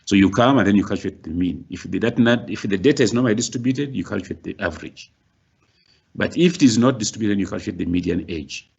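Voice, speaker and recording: A middle-aged male lecturer with a very low, gravelly and husky voice, working through a workshop slowly and repeating himself as he explains. The sound is muffled and lo-fi, like an online lecture recording. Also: darkish tone. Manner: explains slowly with many restarts and rising stresses.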